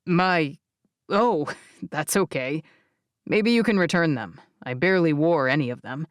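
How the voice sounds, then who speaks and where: A woman voicing an easygoing, independent man in his twenties, a grandson in an audiobook novel, in a plain, low-key way. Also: measured pace, dry recording, clean studio sound. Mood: casual, dismissive.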